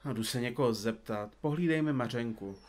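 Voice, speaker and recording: A man's voice: medium pitch, a little mellow, close to an everyday voice. A man playing a talkative, theatrical detective in an audio drama, speaking in a florid, pompous and teasing way. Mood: playful, mock-sulky. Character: chatty, theatrical, teasing male detective dictating to his recorder. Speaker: detective in a comedy mystery podcast.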